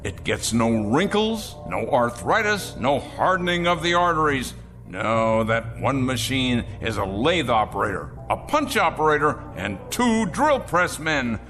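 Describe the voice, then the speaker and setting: A cold, arrogant factory owner in a radio drama, lecturing with pompous, salesman-like polish. His pitch swings dramatically over a clear bed of music. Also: sing-song, a little slow, fairly bright tone. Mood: smug, enthusiastic. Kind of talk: audio drama acting.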